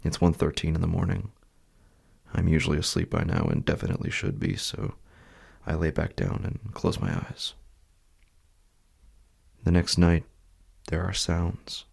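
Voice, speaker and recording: A man performs an uneasy, sleepless husband narrating a horror podcast in the first person. His voice is low and husky, thick with gravelly creak. He speaks in a hushed, deliberate way, leaving long pauses. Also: sing-song, heavily acted. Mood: groggy, wary. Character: uneasy, sleepless husband haunted by faint night sounds.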